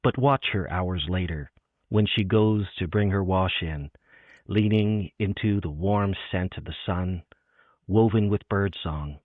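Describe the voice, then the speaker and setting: A man hosting a literary interview, chatting about poetry and reading it aloud. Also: deep voice. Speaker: interviewer and host. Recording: echoey, band-limited phone-like sound.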